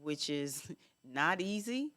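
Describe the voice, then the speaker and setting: A woman's voice: sits low, sing-song, slow, fairly bright tone. A woman in her fifties co-hosting a podcast, talking casually and stressing points by repeating them. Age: 50s. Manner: emphatic, repeats phrases for stress. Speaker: podcast co-host. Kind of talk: casual chat.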